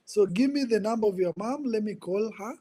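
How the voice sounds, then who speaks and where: A man in his twenties with a Kenyan accent, telling his life story as a podcast guest in an earnest, halting way, often repeating words. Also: high voice, mellow. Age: 20s. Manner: earnest, repeats words while searching for them. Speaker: guest, ministry coach. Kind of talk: personal testimony in conversation.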